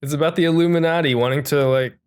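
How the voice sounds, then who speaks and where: A man's voice: a little high, fairly even intonation, fast talker, clear, resonant tone. A man co-hosting a podcast with flippant, joking, casual banter. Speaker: podcast co-host.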